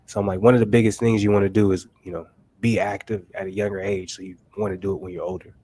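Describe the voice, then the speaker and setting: A man's voice: low-pitched, level tone, fast, mellow. A man in his late twenties hosting a casual chat, laid-back and chuckling between remarks. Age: late 20s. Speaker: host.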